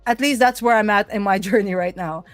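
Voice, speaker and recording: A woman's voice: medium pitch, a little fast, faint music behind. A woman talking casually and playfully on a livestream call from home, as a trader guest. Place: at home at a desktop computer.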